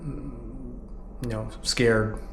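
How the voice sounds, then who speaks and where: A man's voice: medium pitch, little pitch movement, very slow, gravelly, a bit bright. Canadian man in his 30s, candid vlogger; echoey room, light background hum. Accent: Canadian English. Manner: earnest, candid, frequent "you know" fillers. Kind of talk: reflective personal vlog narration.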